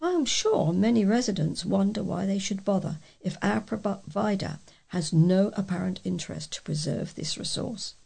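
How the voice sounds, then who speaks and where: A British woman reads letters aloud for a local news recording. Her voice is very creaky and somewhat husky, set at an average pitch for a woman. She keeps a measured pace of reading, with an occasional stumble over a word. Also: lively intonation, warm tone. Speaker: volunteer newsreader for a local talking newspaper.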